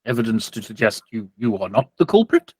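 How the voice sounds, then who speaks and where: A man's voice: lowish voice, very animated, brisk, darkish tone, husky, dry recording. A man acts out a pompous church father for a tabletop game. He speaks in formal, stately phrases and takes offence easily, in a close, dry recording. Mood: probing, formal. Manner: formal, stately, indignant when challenged.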